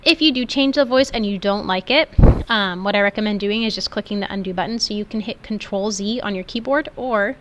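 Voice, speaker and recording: Woman giving an informal software tutorial, noisy recording. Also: mid-range voice, a little fast, few pauses. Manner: explanatory, instructional, informal. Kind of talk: informal step-by-step screen tutorial. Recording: clearly noisy background.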